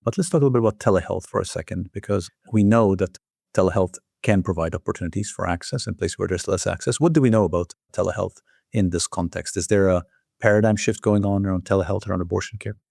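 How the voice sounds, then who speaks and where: A man's voice: low-pitched, fast talker, creaky, a little mellow, no room sound, clean studio sound. A man hosting an interview, putting structured questions to a guest in a formal conversational style. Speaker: interviewer, journal editor hosting.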